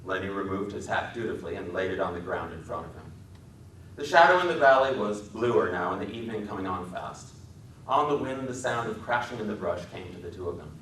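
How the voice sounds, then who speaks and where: A man's voice: low voice, lively intonation, brisk, fairly bright tone. An American man narrating a literary story as a dramatic reading. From Wisconsin, United States. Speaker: author and dramatic reader. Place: public library event room. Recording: echoing hall, distant mic, faint noise.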